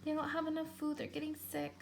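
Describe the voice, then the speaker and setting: A woman with a somewhat high voice leads story time for preschoolers. She is expressive as a teacher. She breaks from the reading to react and ask simple questions. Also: quick pace, slight background noise. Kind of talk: read-aloud story time for young children.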